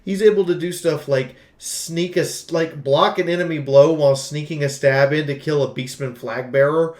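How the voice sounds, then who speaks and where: A man's voice: fairly high pitch, fairly even intonation, normal speed, a little mellow, roomy sound. A man giving a casual game review in an emphatic, exclamatory, jokey way, as a streamer talking through notes and ad-libs. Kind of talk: casual scripted game review with ad-libbed commentary.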